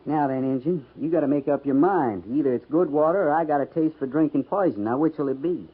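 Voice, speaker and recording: Folksy, grumbling cavalry trooper, old radio. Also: male voice, on the high side, brisk, husky. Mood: wry, exasperated. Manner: folksy drawling grammar, wry. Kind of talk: 1950s radio western acting. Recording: muffled, noisy 1950s radio recording.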